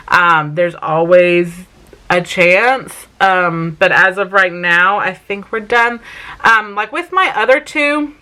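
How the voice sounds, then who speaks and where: A woman's voice: lowish voice, pushed and bright. A woman in her thirties with a Southern US accent from South Carolina, chatting casually to camera in a home Q&A vlog, full of "um" and "like" fillers. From South Carolina, raised in Vermont. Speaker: mom vlogger.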